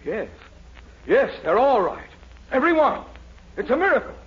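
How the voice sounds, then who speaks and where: Blustery middle-aged dean, high and animated, theatrical vintage radio sitcom on dull old recording. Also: male voice, normal speed. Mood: suspicious, puzzled. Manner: pompous, theatrical exclamations. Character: excitable, pompous male university dean. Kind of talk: 1940s radio comedy acting. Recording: muffled, noisy 1940s radio recording.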